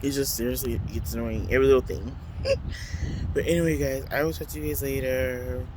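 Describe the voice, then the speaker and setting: A man chatting casually in a rambling vlog, talking loudly into a handheld phone outdoors at a transit stop. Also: mid-range voice, fairly quick. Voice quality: loud voice. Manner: rambling, chatty, full of fillers. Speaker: vlogger. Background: faint city traffic noise.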